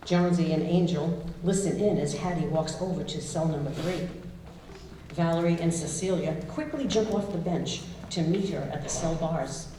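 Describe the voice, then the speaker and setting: Female stage-directions narrator, lowish mellow voice, scripted delivery, very roomy distant recording. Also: moderate pace. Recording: very echoey room, distant, faint noise.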